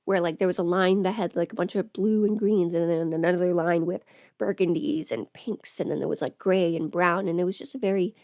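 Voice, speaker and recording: A woman's voice: fairly low pitch, brisk, darkish tone, dry recording, clean studio sound. A woman livestreamer chatting casually while getting ready, rambling and interrupting herself as she thinks aloud.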